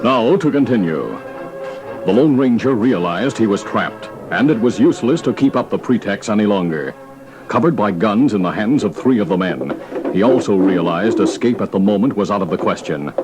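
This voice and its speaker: An actor as the solemn narrator of an old-time western radio serial, reading scripted adventure narration. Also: male voice, lowish voice, expressive, moderate pace, over loud music, natural voice. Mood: dramatic.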